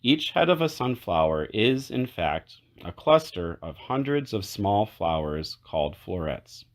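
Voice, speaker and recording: Deep-voiced man reading a storybook aloud. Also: big pitch swings, unhurried. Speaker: children's book read-aloud narrator. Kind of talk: read-aloud storybook narration.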